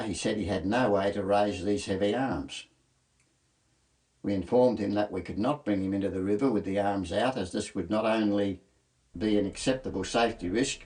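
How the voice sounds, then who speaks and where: A man's voice: lowish voice, darkish tone. An Australian man reading a scripted rescue report as a coast guard narrator. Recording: clearly noisy voice-over track.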